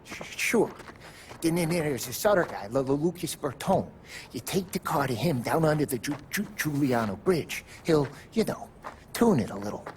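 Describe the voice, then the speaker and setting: A man's voice: on the high side, very animated, normal speed. A jittery young mob errand man who stutters through every few words. A faint noise sits behind the game cutscene.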